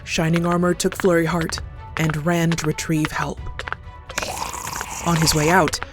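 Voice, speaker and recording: A woman's voice: low-pitched, level tone, measured pace. A woman narrating a scripted horror story as a storyteller. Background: clear background music.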